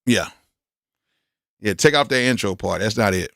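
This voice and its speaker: Hoarse, bright-voiced man reviewing beats. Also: lowish voice, expressive, moderate pace, no echo, studio quality. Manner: candid, blunt, full of exclamations. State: hoarse, losing his voice from yelling. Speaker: beat reviewer hosting a live stream. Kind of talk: casual live-stream commentary.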